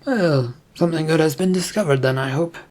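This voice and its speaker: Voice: mid-range voice, a little fast, darkish tone, close to an everyday voice. A narrator voicing a bumbling, absent-minded storybook king who speaks in an uncertain, dithering way. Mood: befuddled.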